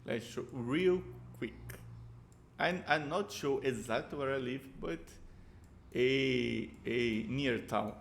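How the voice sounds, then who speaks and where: Brazilian man, native Portuguese speaker, reacting on camera in unhurried, gravelly, searching English. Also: mid-range voice, a little hiss or hum. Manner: halting, repeats words, searches for English. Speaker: reaction video host. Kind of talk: casual reaction commentary.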